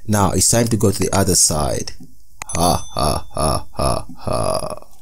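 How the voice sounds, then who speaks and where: A man playing a threatening demon who collects souls, speaking in a slow, ominous way. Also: extremely low pitch, sing-song, vocal fry, a little hiss or hum, pitched below the performer's own voice, full character voice. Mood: sinister laughing.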